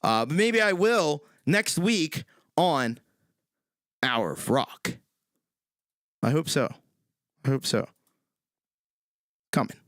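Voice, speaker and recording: A man hosting his own live stream, chatting quickly and casually with viewers, his voice worn out from singing. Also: sing-song, a bit bright, no room sound. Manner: quick, low-key, lots of ums and uhs. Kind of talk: casual chat with viewers between songs.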